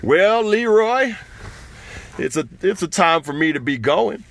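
Man playing a sneaky storybook dirt spirit, slow and slyly singsong. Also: high-pitched, expressive, creaky, a bit bright, voice pushed up, heavily acted. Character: sly mischievous male dirt spirit.

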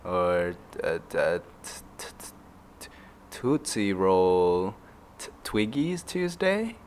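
Low-voiced Malaysian man, joking casual podcast host. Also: sing-song, slight background noise. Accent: Malaysian English. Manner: jokey wordplay, halting with fillers. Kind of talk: playful casual chat mixing English and Malay.

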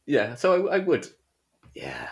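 A man hosting a podcast, chatting casually about books in a halting way, often repeating and correcting himself. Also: mid-range voice, huge pitch swings, creaky, background noise. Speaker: podcast host. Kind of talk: casual book chat.

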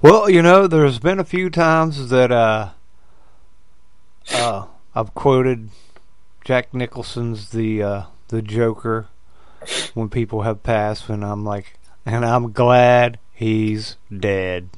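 Male podcast sidekick, very slow pacing, dry studio sound, wry conversational asides. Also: medium pitch, fairly even intonation, clean tone, no room sound. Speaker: podcast co-host. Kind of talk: casual chat.

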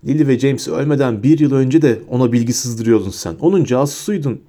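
A man voicing a bitter, furious adult wizard who shouts and snarls his accusations. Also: medium pitch, fairly quick, natural voice.

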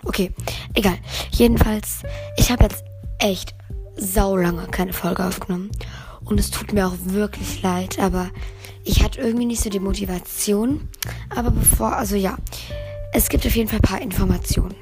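Teen girl host with a hoarse, strained voice, chatty and meandering, faint music in the background. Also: mid-range voice, normal speed. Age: teens. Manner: rambling, chatty, self-correcting. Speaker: podcast host. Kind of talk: casual solo podcast chat.

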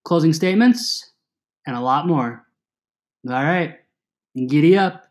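Energetic young man hosting a sports podcast. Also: on the high side. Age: 20s. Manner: energetic, teasing, quick asides. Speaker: podcast host. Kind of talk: casual sports podcast chat.

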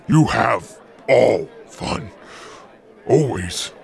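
A man playing a gruff, slow-witted loyal companion in a radio drama, speaking in halting broken phrases. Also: medium pitch, lively intonation, a little mellow, exaggerated voice.

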